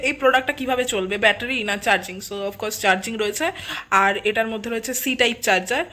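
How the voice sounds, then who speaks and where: A woman's voice: fairly high pitch, quick pace, bright, forceful tone. A woman giving a casual, explanatory product review to viewers, mixing in English words. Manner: explanatory, addressing viewers directly. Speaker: vlog host and product reviewer.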